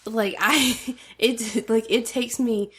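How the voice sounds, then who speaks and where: A woman's voice: a little high, steady tone, fairly bright tone, breathy edge. A woman co-hosting a casual podcast chat with her husband, stuffy from a passing cold and laughing often as she teases him. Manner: laughs often, teasing, quick asides. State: stuffy nose, slightly sore throat. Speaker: podcast co-host.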